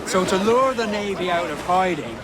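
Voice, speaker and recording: Seasoned pirate captain, higher-pitched. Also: male voice, lively intonation, moderate pace, a crowd in the background. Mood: shrewd, approving. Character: seasoned pirate captain, paternal, principled, shrewd. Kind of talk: video game cutscene acting.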